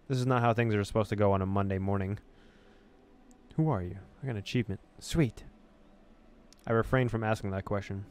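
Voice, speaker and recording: A man's voice: fairly low pitch, slight background noise. A man hosting a let's-play, reading story text expressively and dropping in casual asides. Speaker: gaming video host.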